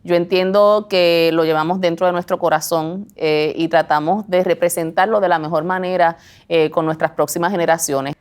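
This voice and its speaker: Puerto rican woman, lowish voice, reflecting in an interview. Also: steady tone, normal speed, clean tone.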